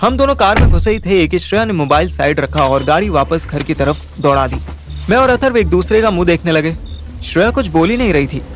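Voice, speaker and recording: A man's voice: high-pitched, expressive, a little fast, background noise. A man playing a wisecracking, haggling young amateur detective who narrates an audio story with slangy, sarcastic banter. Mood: storytelling. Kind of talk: first-person audio story narration with acted dialogue.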